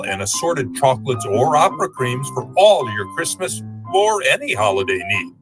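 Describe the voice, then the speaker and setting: A man's voice: medium pitch, rough recording. A man reading as a scripted announcer in the style of old-time radio ads and sign-offs.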